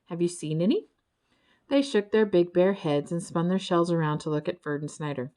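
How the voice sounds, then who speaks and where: A woman narrating a children's story in an expressive, scripted storytelling style. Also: on the low side, a little fast, clean tone. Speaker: audiobook narrator.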